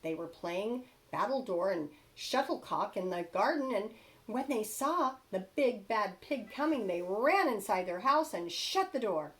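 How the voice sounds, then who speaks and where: A woman reads a children's storybook aloud like a teacher. Her pitch is average but very lively, and she takes her time over each phrase. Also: a little slow. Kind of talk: expressive children's storybook narration.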